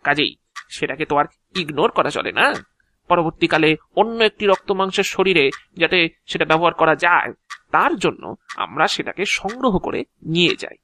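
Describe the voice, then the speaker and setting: A man's voice: fairly high pitch, expressive, a little fast, sharp tone, no echo, studio quality. An actor playing an ancient, talkative agent of Death in an audio drama, explaining his work proudly in a theatrical, officious yet chatty way. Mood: brisk, explaining. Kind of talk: Bengali audio drama acting.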